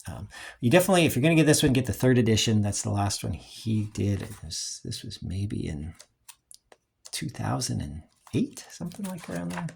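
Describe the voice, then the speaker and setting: A man's voice: fairly low pitch, sing-song, moderate pace, creaky, a little mellow, a little hiss or hum. A man giving a casual, unscripted review in a rambling, hesitant way, as the host of a collecting video.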